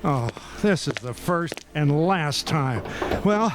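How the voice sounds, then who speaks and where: A man's voice: on the high side, animated, takes it slowly, loud music behind the voice. A man playing a flustered, hapless young fiancé in a comic radio play, stammering and sputtering as he blunders along. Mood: grumbling.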